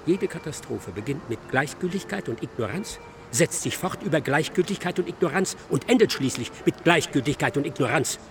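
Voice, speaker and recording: An actor playing an excitable, self-absorbed male scholar in a German radio drama, lecturing insistently and theatrically. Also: a little high, a little fast, music in the background. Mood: pedantic, self-important. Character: excitable, self-absorbed male scholar expounding his dark ghost-ship thesis.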